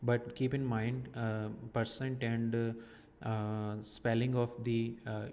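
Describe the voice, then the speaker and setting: Man for a software walkthrough: slow, halting, methodical delivery with lots of pauses; flat, smooth voice with a long reverb tail. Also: mid-range voice. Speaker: software tutorial presenter. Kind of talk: screen-recorded software walkthrough.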